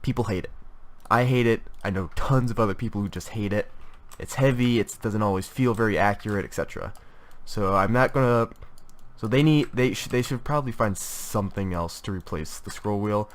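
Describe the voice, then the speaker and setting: A man's voice: lowish voice, fairly quick. A young man with a stuffy voice from a cold, reviewing gaming gear in a casual, rambling way with many fillers and restarts. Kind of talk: casual product review talk.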